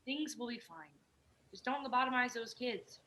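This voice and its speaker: A young woman playing an excitable, teasing roommate in her early twenties in a script reading. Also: on the high side, normal speed, a little hiss or hum. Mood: concerned. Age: young adult.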